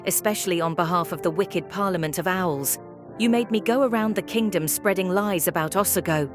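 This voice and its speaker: A woman's voice: lowish voice, close to an everyday voice. Woman doing a defiant mocking lady bird in an audio play, declamatory and taunting, bit of music behind. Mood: accusing, bitter. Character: defiant, mocking female bird, disillusioned former propagandist.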